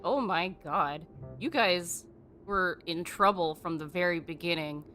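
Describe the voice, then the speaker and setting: A woman's voice: fairly low pitch, measured pace. A woman streaming a game with casual, playful live commentary full of little exclamations and murmured reactions. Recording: loud game music underneath. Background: video game music and dialogue.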